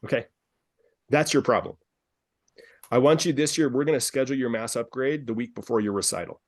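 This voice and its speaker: A man's voice: medium pitch, brisk, no room sound, studio quality. A man hosting a live group coaching call, upbeat and persuasive, who repeats himself and calls on callers by name. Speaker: dance studio business coach, call host. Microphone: video call.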